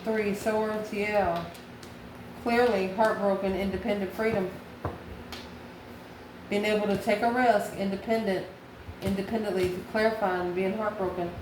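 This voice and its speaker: A woman's voice: mid-range voice, steady tone, unhurried, long pauses. Echoey noisy recording, lady doing a tarot reading, folksy and familiar w the viewer, repeats stuff like an incantation. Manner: folksy, familiar, addresses listener as honey and baby. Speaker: tarot reader, channel host. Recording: echoey room with clear background noise.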